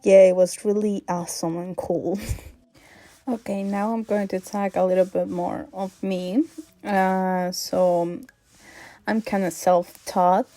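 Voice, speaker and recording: Woman w an accent, slow and mellow, lots of uhs, chatty art vlog vibe. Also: mid-range voice, little pitch movement, no echo. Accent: non-native English accent. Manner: hesitant, many fillers, soft laughs. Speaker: art vlogger. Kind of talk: casual sketchbook vlog chat.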